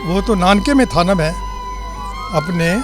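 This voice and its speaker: An elderly man in his eighties tells his memories in an unhurried, homely way. His voice sits fairly high for a man. Soft music plays faintly under the interview. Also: expressive. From born in Delhi, lives in Lahore. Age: 80s. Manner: unhurried storytelling, plain homely detail. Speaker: interviewee recalling 1947 migration. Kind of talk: reminiscing eyewitness account.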